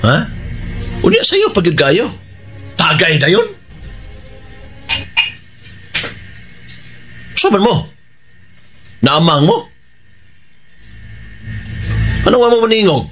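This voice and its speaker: An actor playing a domineering, swaggering man in a Philippine radio drama, cajoling his drinking buddies with drawn-out, taunting questions. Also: high voice, huge pitch swings, full character voice. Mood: taunting, probing. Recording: loud music bed under dialogue.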